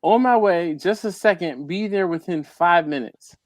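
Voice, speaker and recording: A man's voice: high voice, moderate pace, no room sound, studio quality. A man hosting a casual group live stream, teasing his co-host and reading viewer comments aloud. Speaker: livestream host.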